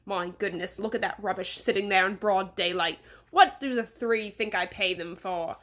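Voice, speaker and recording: A woman's voice: mid-range voice, moderate pace, fairly bright tone, natural voice. A woman voicing a pompous, insulting rich man with an English accent in a storybook, imperious and scolding. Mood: fuming, scornful.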